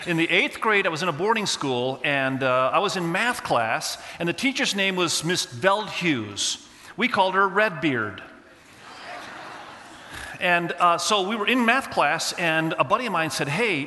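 A man's voice: fairly high pitch, big-room sound. A man who grew up in Indonesia, with Indonesian as his first language, telling a funny story from his school days as part of a sermon. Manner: animated, humorous storytelling. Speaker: pastor.

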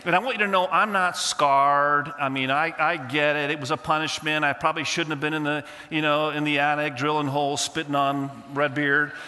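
A man's voice: a little high, fairly quick, few pauses, long reverb tail. A man who grew up in Indonesia, with Indonesian as his first language, telling a funny story from his school days as part of a sermon. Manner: animated, humorous storytelling. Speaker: pastor.

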